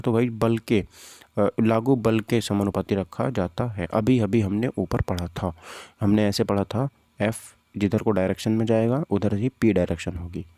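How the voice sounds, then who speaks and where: Low, mellow male teacher. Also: moderate pace, dry recording. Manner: conversational, repeats points, poses questions to students. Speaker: physics teacher. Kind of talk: informal exam-prep lesson, walking through questions and answers.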